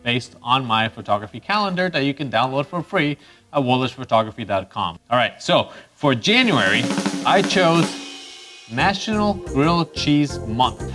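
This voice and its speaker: A man's voice: medium pitch, moderate pace, music in the background. Upbeat Costa Rican man hosting a vlog. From Costa Rica. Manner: upbeat, chatty, with bursts of excitement. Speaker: photography channel host. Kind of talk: casual YouTube presenting.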